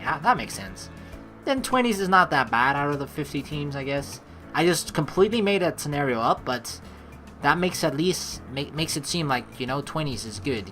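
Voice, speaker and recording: A woman commentating casually over a game, reading its text and thinking aloud. Her voice is on the low side for a woman yet bright and very expressive. Soft game music sits behind her. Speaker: let's player.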